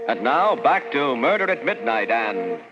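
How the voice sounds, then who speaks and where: An actor playing an ominous, dramatic announcer narrating an old-time radio horror drama. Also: male voice, mid-range voice, big pitch swings, unhurried, muffled recording. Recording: band-limited old radio, loud music.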